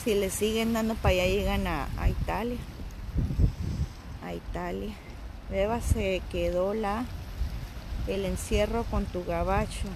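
A woman's voice: medium pitch, fairly even intonation, a little slow, creaky, pauses often. Joking Mexican woman on a windy shore. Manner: playful, jokey, talking to viewers. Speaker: vlogger. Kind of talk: live-streamed casual chat. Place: windy seaside outdoors. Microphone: phone at arm's length.

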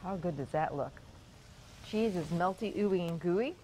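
A woman's voice: fairly low pitch, lively intonation, unhurried, mellow, slight background noise, low-quality recording. A woman, hosting a morning TV cooking segment in a studio kitchen and chatting cheerfully as she cooks. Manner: chatty, upbeat, talks while cooking.

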